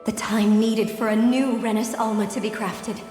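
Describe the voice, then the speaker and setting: A woman's voice: a little high, fairly even intonation, warm tone, close to an everyday voice. A woman as a solemn, echoing, remorseful maiden. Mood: grave resolve. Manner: solemn, measured, sorrowful. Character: remorseful ancient maiden confessing her doom. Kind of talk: dramatic scripted monologue in a video game. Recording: echoing long reverb, music behind.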